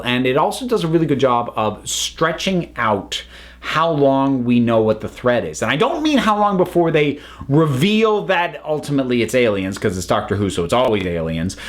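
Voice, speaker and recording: A man presents a casual review straight to his viewers. His mid-pitched voice moves up and down in a very lively way, and he stresses points emphatically, often doubling words. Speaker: review video host.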